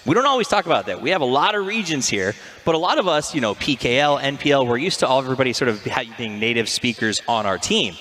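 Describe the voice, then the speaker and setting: A man's voice: medium pitch, very animated, fast, few pauses, pushed and bright. A man as an esports desk analyst, talkative and expansive.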